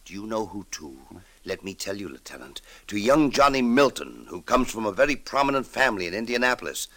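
A man's voice: lowish voice, very animated, fairly quick, sharp tone, full character voice. An actor playing a weary, proud old ex-convict father in an old-time radio drama, pleading with feeling. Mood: urgent pleading. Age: older.

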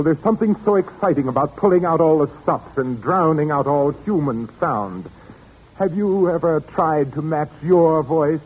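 An actor playing a suave, calmly menacing murderer who plays the organ, speaking softly and slowly with relish in an old radio drama. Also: male voice, fairly high pitch, slightly rough. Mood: gloating. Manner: soft, slow, relishing menace. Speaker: villain in a radio play. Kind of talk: 1940s radio acting. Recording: muffled old radio recording with organ music.

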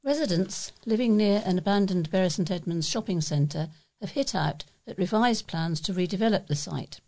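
A woman's voice: fairly low pitch, animated, normal speed, mellow. A woman with a British accent reading local newspaper articles aloud as a volunteer newsreader.

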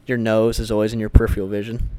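A man's voice: fairly low pitch, monotone, very fast, mellow, smooth. A man co-hosting a podcast in casual chat, blunt and teasing as he critiques his friend's impressions. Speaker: podcast co-host.

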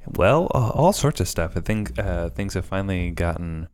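A man's voice: low-pitched, expressive, moderate pace, a little mellow, clean tone. A man in his thirties co-hosting a filmmaking podcast, chatting casually and rambling with lots of fillers. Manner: rambling, many fillers and restarts. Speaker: podcast co-host. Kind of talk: casual chat.

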